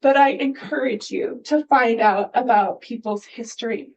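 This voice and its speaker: A woman's voice: fairly high pitch, moderate pace, a little mellow, dull audio. A woman from Hawaii giving an informal presentation as host at a public event. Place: indoor event hall, speaking at a podium.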